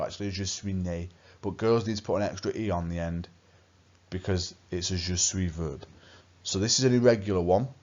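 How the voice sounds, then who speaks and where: A man's voice: deep voice, darkish tone, dull audio. A man from England, a French teacher, talking students through a lesson over slides, patient and step by step, asking the same guiding questions again and again.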